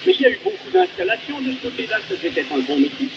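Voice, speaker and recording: A man's voice: very high voice, wildly swooping pitch, racing, creaky. A man interviewing in a polite, inquiring conversational way on a muffled, noisy early recording.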